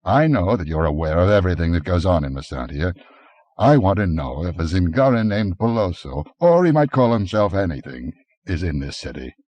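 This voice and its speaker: A man reading an audiobook, voicing a brawny barbarian adventurer who speaks in a gruff, commanding way, a little higher and louder than his narration. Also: sing-song, a little fast, no echo.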